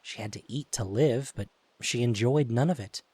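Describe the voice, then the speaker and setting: A man's voice: mid-range voice, normal speed, a little mellow, slightly rough, no echo. A man narrating an audio drama in a scripted storytelling style. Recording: faint hiss.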